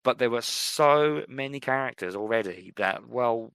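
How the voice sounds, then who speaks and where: A man's voice: mid-range voice, unhurried, fairly bright tone, clean tone, no echo. A man co-hosting a podcast, chatting casually and enthusiastically about films, repeating himself for emphasis. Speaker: podcast co-host. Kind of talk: casual film discussion.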